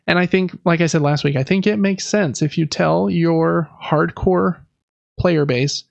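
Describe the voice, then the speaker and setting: Gravelly male podcast host, casual. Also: fairly high pitch, unhurried, dry recording, muffled recording. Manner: informal, conversational, hedging with slangy asides. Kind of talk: casual podcast commentary on industry news.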